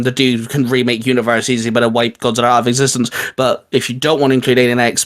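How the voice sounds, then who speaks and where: A man hosting a casual geeky debate, speaking in a chatty, informal and opinionated way. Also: medium pitch. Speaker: video host.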